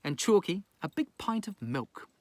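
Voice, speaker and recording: A man's voice: high-pitched, sing-song, unhurried, a little mellow, no echo. A man from New Zealand telling a rhyming children's story in a theatrical, playful pirate persona. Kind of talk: rhyming children's story read aloud with casual asides.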